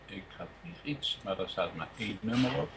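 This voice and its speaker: A man playing a hesitant, rambling radio music host, chatting informally and haltingly as he presents live. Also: fairly low pitch, lively intonation. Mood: casual, rambling. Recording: clear background noise.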